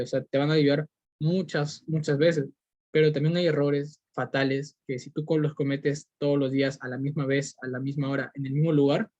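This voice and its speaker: Peruvian man, young adult, podcast host, slightly high voice, earnest, hesitant fillers, dull lo-fi sound. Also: a little mellow, no echo. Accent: Peruvian Spanish. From Peru. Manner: earnest, repetitive, many fillers and restarts. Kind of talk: motivational podcast monologue. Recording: dull lo-fi recording.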